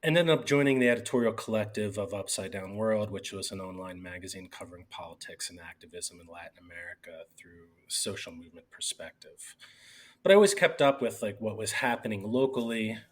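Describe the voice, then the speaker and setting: Low-voiced man telling his story, rambling. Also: a little fast. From Bucks County, Pennsylvania. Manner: rambling, many fillers and restarts. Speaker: newspaper editor, guest. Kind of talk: casual interview talk.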